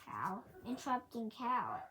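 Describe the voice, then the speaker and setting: A woman voicing a wisecracking male duck in a children's storybook, telling jokes in a deliberate manner. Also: a little high, big pitch swings, pushed and bright, a little hiss or hum, heavily acted. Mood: playful.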